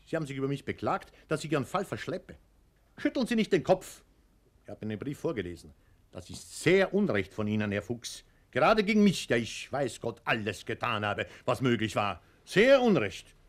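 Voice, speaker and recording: Radio play role: officious male official, self-righteous, smoothly formal, highly animated delivery. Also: moderate pace. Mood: reproachful. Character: officious male bureaucrat chief, servile upward, patronizing downward.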